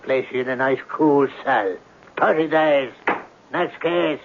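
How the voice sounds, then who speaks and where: An actor playing a brisk, dismissive judge in a comic radio drama, curt, dry and officious. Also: male voice, medium pitch, gravelly. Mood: impatient, dry. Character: brisk, bored, dismissive judge rushing through cases. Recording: muffled, hissy old radio broadcast.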